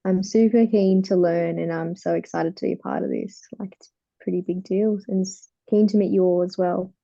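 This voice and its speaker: A young woman introduces herself in a casual way. Her voice is extremely mellow and dark, with vocal fry, and the audio is dull. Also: on the low side, steady tone, fairly quick. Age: 20s. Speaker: course participant, new dentist. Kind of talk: casual chat self-introduction.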